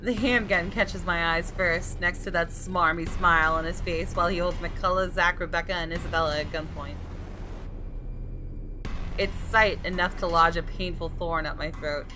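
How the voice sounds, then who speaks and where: A woman's voice: on the low side, steady tone, normal speed. A woman gaming YouTuber reading a visual novel aloud as dramatic narration. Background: music.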